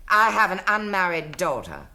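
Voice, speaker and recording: A woman's voice: fairly low pitch, sing-song, normal speed, sharp tone, heavily acted. An actress playing an imperious, easily outraged rich aristocratic mother in a British radio drama. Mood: haughty, cutting. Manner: haughty, commanding.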